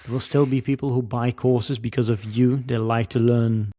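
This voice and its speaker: A man with a mellow, dark tone hosts a casual podcast interview and thinks aloud with plenty of fillers. His voice sounds dry and close to the mic. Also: medium pitch, little pitch movement, normal speed, no echo. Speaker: podcast host.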